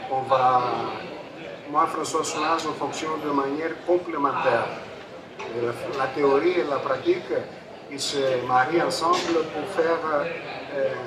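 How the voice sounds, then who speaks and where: A native Greek man with a Greek accent giving a public talk, halting and repeating his phrases. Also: mid-range voice. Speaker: photographer and panel speaker. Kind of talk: public talk at a book fair, introducing a debate. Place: busy book fair stand. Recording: echoey, distant mic, crowd noise.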